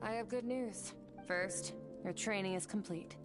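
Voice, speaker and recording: A woman's voice: medium pitch, measured pace, close to an everyday voice. A woman voicing an aloof female swordmaster from another world in a game cutscene, talking in a clipped, matter-of-fact way. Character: aloof female swordmaster from beyond the stars, cool. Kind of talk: video game cutscene dialogue. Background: game music.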